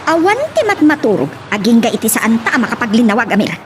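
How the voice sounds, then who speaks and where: An actress plays an emotional, strong-willed woman in an Ilocano love drama. Her voice is high and swings widely in pitch, with faint noise behind it. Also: sing-song, fast. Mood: angry, accusing. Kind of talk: Ilocano audio drama acting.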